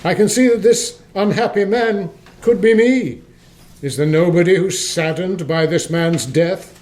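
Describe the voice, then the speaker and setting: Man acting a high-voiced, frightened old miser. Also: unhurried, darkish tone. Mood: horrified pleading. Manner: theatrical, stammering, earnest. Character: frightened, pleading old male miser facing spirits. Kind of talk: community radio play acting.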